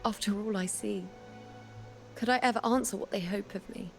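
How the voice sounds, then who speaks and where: A woman playing a solemn, resolute seer in a video game, speaking gravely and deliberately over background music. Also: mid-range voice, lightly acted. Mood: pensive. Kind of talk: scripted video game story acting.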